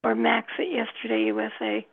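Very creaky woman on a phone-like line. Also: a little high, level tone, a little fast. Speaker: participant in an old-time radio discussion group. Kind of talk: casual group discussion.

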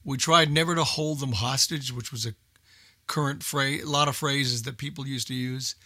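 Emphatic older American man, bright voice. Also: mid-range voice, fairly even intonation, normal speed, no echo, studio quality. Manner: emphatic, repeats himself for emphasis. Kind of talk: casual group chat.

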